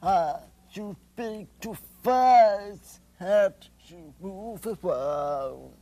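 A man playing an earnest deaf professor on children's television, with imprecisely articulated deaf speech in short, halting, direct phrases. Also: high-pitched, mellow, full character voice. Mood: earnest, explaining.

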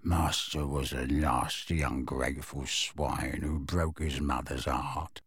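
A man's voice: no echo, clean studio sound, heavily acted. A man doing a bitter muttering old house-elf. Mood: muttering bitterly. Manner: low grumbling mutter, slow and resentful.

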